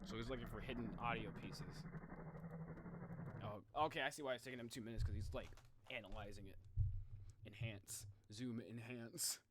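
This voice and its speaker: A young man reacting on a live stream in casual, slangy chat, excitable and thinking out loud. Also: mid-range voice, normal speed, pauses often, heavy background noise. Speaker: streamer reacting to game videos.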